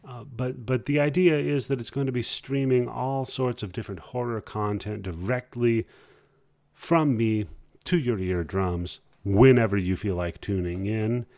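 A man hosting a podcast, talking informally and haltingly in a casual solo style. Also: mid-range voice, vocal fry, darkish tone, dry recording, clean studio sound. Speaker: podcast host.

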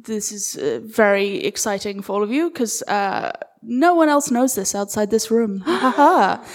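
A woman chatting casually as a guest at a live interview, jokey, informal and halting as she explains her work. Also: mid-range voice, lively intonation. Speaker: guest, audio fiction creator. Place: live event room with audience.

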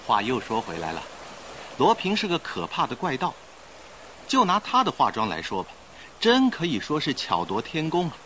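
An actor playing a suave, knowledgeable young gentleman in an old-style radio drama. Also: medium pitch, huge pitch swings, normal speed, slight background noise, rough recording. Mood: impressed, dramatic.

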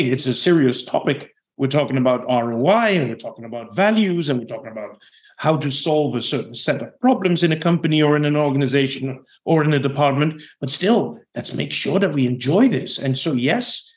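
A man from the Netherlands with a Dutch accent, a podcast guest giving long, reflective answers about his sales career. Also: fairly high pitch. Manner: reflective, talks in long explanatory runs. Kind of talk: podcast interview.

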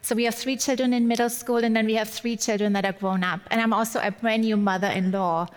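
A woman's voice: a little high, steady tone, fast talker, smooth. A middle-aged woman from Germany with a German accent, giving a personal, lightly humorous church sermon as a guest speaker. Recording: long hall-like reverb.

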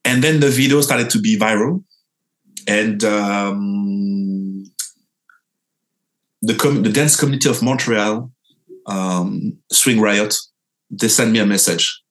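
A young man with a French accent, a dancer telling his story in an interview, animated and full of halting restarts. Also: low-quality recording. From France. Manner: animated, lively pitch jumps, halting restarts.

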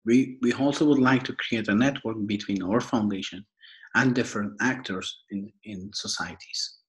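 Low-voiced man with an Afghan accent. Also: fairly bright tone, poor audio. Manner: halting, formal, repeats and rephrases phrases. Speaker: podcast host and foundation worker. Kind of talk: prepared podcast introduction.

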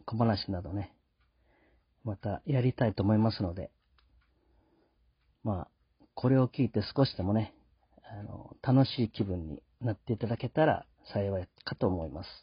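Husky man hosting, dry dull sound. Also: fairly low pitch, no room sound. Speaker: host. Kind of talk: casual podcast sign-off monologue.

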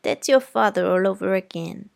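A woman's voice: mid-range voice, moderate pace, vocal fry, a little mellow. A woman reading aloud voices a stern, proper older aunt who speaks in a haughty, raised voice.

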